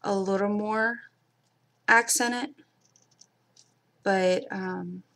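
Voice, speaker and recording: A woman's voice: medium pitch, fairly even intonation, extremely slow, a bit bright. A middle-aged woman from Kentucky with a mild Kentucky accent, chatting casually with her viewers during a live craft stream. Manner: chatty, talks to viewers in the chat. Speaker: livestream crafter.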